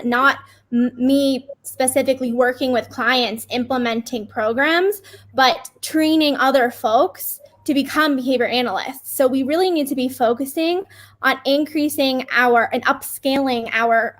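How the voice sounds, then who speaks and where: Woman, behavior analyst, earnest, direct, very high pitch. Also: a bit bright. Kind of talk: panel discussion.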